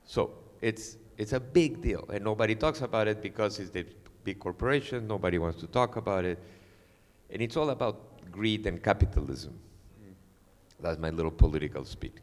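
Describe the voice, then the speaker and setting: A cinematographer, telling lively, joking stories at a festival talk. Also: male voice, fairly low pitch, moderate pace. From Peru. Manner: animated, joking, mimics others' voices. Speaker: cinematographer, guest speaker. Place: large auditorium, indoors. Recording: long reverb of a large hall.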